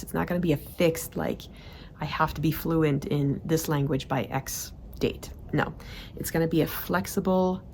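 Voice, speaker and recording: Low gravelly woman vlogging. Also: darkish tone, slight background noise. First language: Swedish. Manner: chatty, frequent fillers and restarts. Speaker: productivity vlogger. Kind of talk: casual monthly report to camera.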